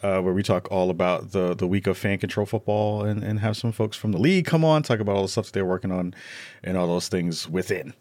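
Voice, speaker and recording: Man, low voice, jokey podcast guest, slangy casual chat, close dry sound. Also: brisk, a little mellow, dry recording.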